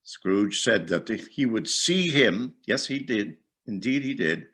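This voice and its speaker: A man reading a classic story aloud as dramatic narration, with deliberate phrasing and pauses. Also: on the low side, expressive, fairly bright tone.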